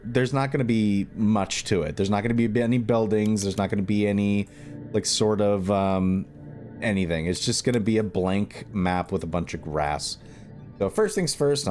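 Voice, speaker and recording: Low-voiced man narrating a casual tutorial. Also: a little mellow. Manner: informal, thinking aloud as he works. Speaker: video tutorial host and game modder.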